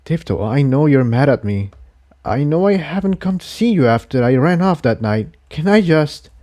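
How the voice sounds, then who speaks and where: A man's voice: fairly high pitch, sing-song, moderate pace, warm tone, pitched above the performer's own voice. Earnest, troubled male pony, read by a man. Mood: apologetic.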